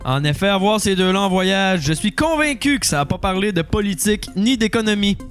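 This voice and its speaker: A man's voice: sits high, fairly quick, fairly bright tone, faint music behind. A man with a Québécois French accent co-hosting a comedy podcast, delivering satirical mock news and often giggling at his own jokes. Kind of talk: satirical mock newscast with banter.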